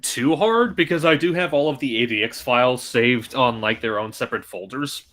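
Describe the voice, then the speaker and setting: A man chats casually on a stream, thinking out loud and hedging with small fillers. His voice has a bright, forward edge. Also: mid-range voice, normal speed. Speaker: voice modder. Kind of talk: casual group chat on a stream.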